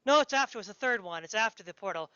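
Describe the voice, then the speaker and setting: A woman's voice: mid-range voice, expressive, fast talker, pushed and bright, clear, resonant tone, dry recording. A woman hosting a casual interview, asking and commenting in tentative, meandering phrases. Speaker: podcast interviewer. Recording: dry but dull, lo-fi audio.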